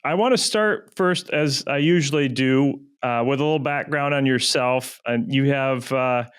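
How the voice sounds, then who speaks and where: A man hosting a podcast, asking relaxed, friendly interview questions. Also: medium pitch, a little slow, clear, resonant tone. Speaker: podcast host.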